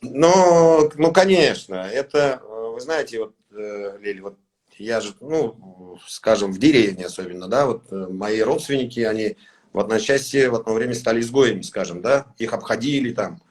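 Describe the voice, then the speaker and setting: Halting man. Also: lowish voice, expressive. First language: Tatar. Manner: halting, searching for words, soft-spoken. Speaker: singer, interview guest. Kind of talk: casual interview talk.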